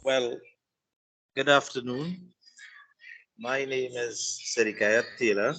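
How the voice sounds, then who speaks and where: Trinidadian male engineer, bright forward tone, earnest and repetitive. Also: mid-range voice, slow, poor audio. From Trinidad and Tobago. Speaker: engineer asking a question. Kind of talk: audience question.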